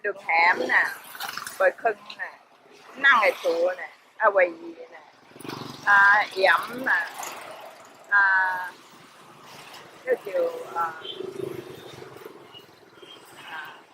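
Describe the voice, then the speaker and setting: A man speaking in a halting way, full of pauses and fillers, recorded from a distance outdoors. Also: high-pitched. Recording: distant mic with audible background noise.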